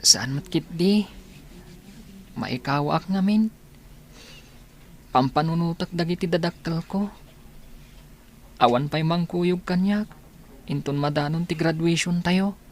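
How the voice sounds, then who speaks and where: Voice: medium pitch, a little slow, pauses often, close to an everyday voice. A performer with an Ilocano Filipino accent acting a sad, meek, pleading young male student in a radio drama. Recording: clear background ambience noise.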